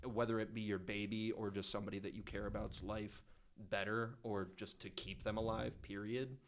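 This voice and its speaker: A man's voice: on the low side, flat delivery, moderate pace, slight background noise. A man co-hosting a casual podcast conversation, thinking aloud with frequent restarts and hedges. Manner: thoughtful, hesitant, with frequent restarts. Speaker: podcast co-host.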